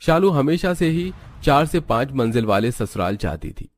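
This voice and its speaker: A man's voice: mid-range voice, sing-song, moderate pace. A man narrating a scripted cartoon story.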